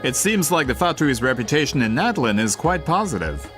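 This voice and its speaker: An actor playing a polite, eager gentleman book merchant in a fantasy video game, speaking in a flowery, theatrical, salesmanlike way. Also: mid-range voice, sing-song, fairly quick, over music, natural voice. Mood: apologetic, courteous. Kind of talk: animated video game voice acting.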